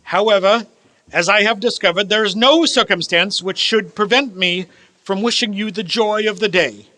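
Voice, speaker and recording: A male actor's elderly reformed miser from a radio play. The voice is high for a man, bright and forceful. The delivery is theatrical and emphatic, with formal, old-fashioned phrasing. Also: normal speed, poor audio. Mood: giddy, joyful.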